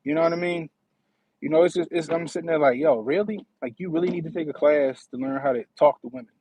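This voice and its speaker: A man's voice: on the high side, quick pace, darkish tone, poor audio. A man in his thirties hosting a casual livestream, talking in a slangy, profane way and often asking "you know what I mean". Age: 30s. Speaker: livestream host.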